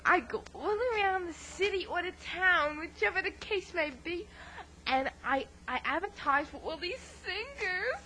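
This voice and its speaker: A woman's voice: very high-pitched, expressive, normal speed, pushed and bright, slight background noise, heavily acted, rough recording. A woman playing a giddy young woman who is a pop-music fan, talking in a teasing, giggly way and spinning tall tales. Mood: playful. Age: young adult. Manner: playful, teasing, giggly, tall-tale telling.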